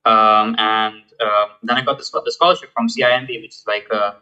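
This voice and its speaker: A young man in his twenties from Malaysia, a guest in a casual interview, talking in a halting way with many fillers and restarts. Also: on the low side, fairly even intonation, bright, forceful tone, highs cut off, soft background music. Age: 20s. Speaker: guest, student founder.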